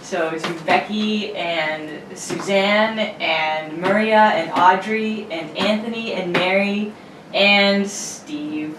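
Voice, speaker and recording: A woman's voice: mid-range voice, slow, far from the mic. A woman hosting a casual group chat, joking and laughing readily as she announces things. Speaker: host and announcer of a script-reading show. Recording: roomy, off-mic, noticeable background noise.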